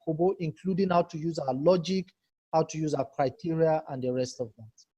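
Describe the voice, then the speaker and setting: A husky, mellow-toned man runs a live video-call workshop, stepping through a data tool on screen. He talks patiently and keeps checking in with attendees by name. His voice comes through a computer mic on the call. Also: fairly high pitch, steady tone, fairly quick. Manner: patient, asks participants for feedback by name. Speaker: online workshop trainer. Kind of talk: live screen-share tutorial.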